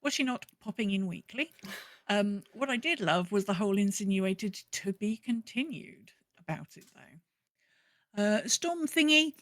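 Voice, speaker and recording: A woman's voice: medium pitch, expressive, unhurried, a bit bright, no echo. A woman co-hosting a casual gaming podcast in an informal, chatty, slangy way. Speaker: podcast co-host.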